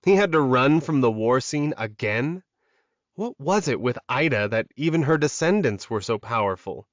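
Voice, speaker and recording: A man reads dramatic fiction as an audiobook narrator. His pitch is in the middle range. The recording is close and dry, with a slightly dull tone. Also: lively intonation, moderate pace, no echo.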